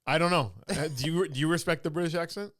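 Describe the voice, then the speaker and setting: A man from Canada hosting a casual podcast chat, quick and joking, often dropping into impressions. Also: fairly high pitch, a bit bright, dry recording, clean studio sound. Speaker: podcast host.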